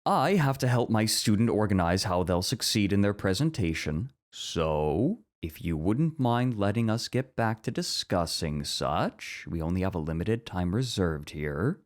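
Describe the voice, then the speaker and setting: A man playing a bookish, earnest, easily flustered professor in an ASMR roleplay, with a measured, articulate and slightly stuffy way of talking. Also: lowish voice, animated. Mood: mildly annoyed.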